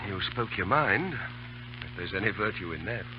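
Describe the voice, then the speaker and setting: Man acting deep-voiced, wry cavalry officer. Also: expressive, normal speed, natural voice. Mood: earnest. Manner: arch, dryly teasing, persuasive. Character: wry, level-headed British cavalry officer. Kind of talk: 1930s radio drama acting. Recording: dull, noisy old radio broadcast.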